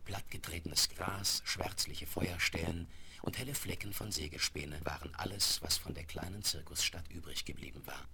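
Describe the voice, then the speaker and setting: Male narrator: deep, gravelly, measured storybook reading for 1970s audio drama on heavily crackling record. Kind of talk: scripted storybook narration, 1970s radio play. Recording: very noisy vinyl record rip.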